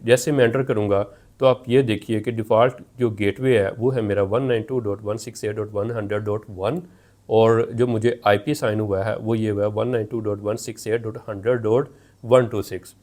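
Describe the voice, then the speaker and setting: A man's voice: fairly low pitch, darkish tone. A man narrating a hands-on technical tutorial, explaining and rephrasing each step as he goes. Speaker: tech tutorial presenter.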